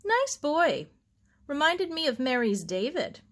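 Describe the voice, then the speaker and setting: Woman as a brisk, kindly storybook aunt. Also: high-pitched, very animated, pitched above the performer's own voice. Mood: teasing. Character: calm, sensible middle-aged aunt, kindly but brisk, gently teasing.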